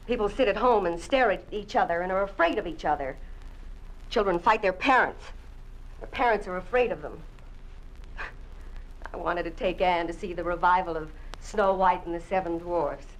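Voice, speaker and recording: A woman's voice: medium pitch, lightly acted. An actress playing an outspoken, bitter woman in a 1951 radio drama, with cutting, sarcastic retorts. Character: defiant outspoken woman Jane, bitter and sharp-tongued. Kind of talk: 1950s radio drama acting. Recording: old radio broadcast, slightly dull.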